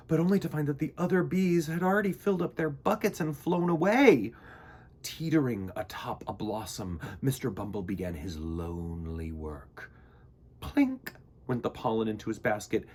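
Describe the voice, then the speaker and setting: A man reading a children's picture book aloud in an expressive, theatrical storytelling manner. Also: mid-range voice, normal speed.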